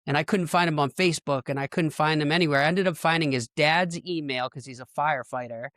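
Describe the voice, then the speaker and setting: A man's voice: on the high side, fast, a bit bright, dry recording, clean studio sound. A man hosting a podcast, talking casually and informally, with a self-deprecating manner and a lot of "like" in his phrasing. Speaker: podcast host. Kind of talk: casual conversational podcast talk.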